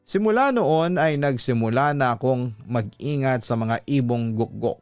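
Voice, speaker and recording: Man, Filipino accent, scripted story narration, mid-pitched voice, dry studio-like sound, faint background music. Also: lively intonation, a little slow, darkish tone, clear, resonant tone, no echo. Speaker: horror story channel narrator reading a listener's letter.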